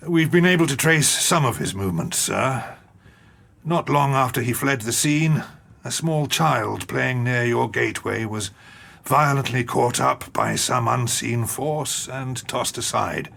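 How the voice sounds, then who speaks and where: A man playing a middle-aged police colonel in an audio drama, gruff, dutiful and deferential, with a raspy, gravelly voice. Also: mid-range voice, measured pace, fairly bright tone, full character voice. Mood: weary.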